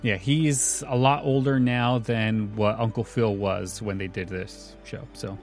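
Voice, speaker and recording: A man hosting a casual podcast, his voice worn hoarse, chatting and laying out facts with a chuckle. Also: fairly low pitch, a little slow, faint music behind. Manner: relaxed, informative, chuckles often. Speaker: podcast host.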